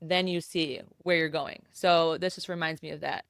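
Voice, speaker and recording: Smooth-voiced young woman podcast host. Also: low-pitched, steady tone, normal speed, a bit bright, no echo, clean studio sound. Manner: rambling, filler-heavy, swears casually. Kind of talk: casual podcast chat.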